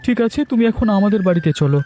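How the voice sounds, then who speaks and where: A man's voice: sits high, expressive, fast, mellow, slight background noise. A man voicing a helpful, exclaiming cartoon fisherman. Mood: kindly. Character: animated, helpful river fisherman. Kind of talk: cartoon dubbing.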